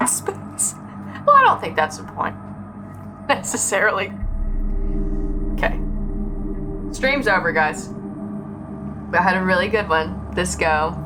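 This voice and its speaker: A woman's voice: lowish voice, takes it slowly, pauses often, background noise. A woman livestreaming a game, chatting casually and rambling in a sarcastic, sweary, griping way. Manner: sarcastic, profane, animated griping. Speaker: live game streamer. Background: game music.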